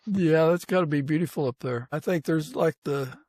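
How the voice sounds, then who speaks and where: A man's voice: fairly high pitch, moderate pace, darkish tone. An American man hosting a casual podcast interview in an easygoing, reassuring way.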